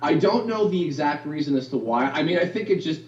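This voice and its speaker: Distant voice actor with a roomy, dull sound. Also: male voice, lively intonation, fairly quick, noisy background. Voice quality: baritone. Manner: rambling, many fillers and restarts, acts out lines of dialogue. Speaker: voice actor guest. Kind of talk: casual interview chat.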